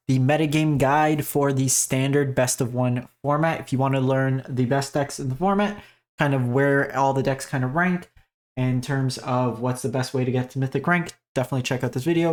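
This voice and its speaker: A man hosting a casual game strategy breakdown, his voice raspy as he is losing it, talking in a conversational way with many fillers. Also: medium pitch, darkish tone. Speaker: host. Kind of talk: casual gaming commentary.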